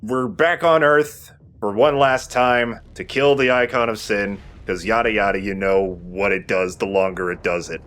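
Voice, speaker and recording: A man's voice: on the low side, steady tone, moderate pace, hardly any pauses, fairly bright tone. A man hosting a let's play, giving casual commentary on a video game.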